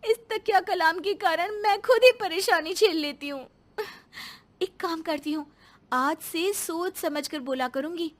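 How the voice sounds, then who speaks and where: A woman voicing a flustered, loud young daughter-in-law in a cartoon moral story, exclaiming dramatically. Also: very high voice, unhurried, voice pushed up. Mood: complaining.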